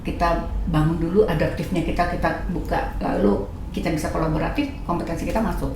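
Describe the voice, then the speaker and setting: A woman's voice: lowish voice, a little fast. Indonesian woman, middle-aged panel guest, chatty and engaging, in a strongly reverberant room with faint noise. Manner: animated, checks agreement with rhetorical questions. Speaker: guest speaker, company leader. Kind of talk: casual panel discussion.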